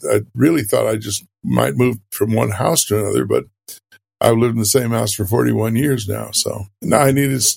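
A man in his sixties, a guest answering interview questions in a measured, hesitant way with many fillers. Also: mid-range voice, creaky, a little mellow.

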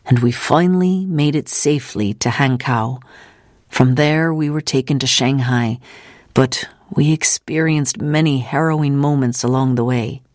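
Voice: mid-range voice, measured pace, a little mellow, no room sound, close to an everyday voice. Composed veteran missionary woman, read by a narrator, dry recording. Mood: grave, recollecting. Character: steadfast veteran missionary woman recounting danger.

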